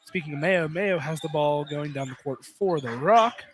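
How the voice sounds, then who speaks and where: A man's voice: a little high, moderate pace. A man doing live play-by-play commentary of a basketball game from inside a field house. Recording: noisy gym with ball bounces.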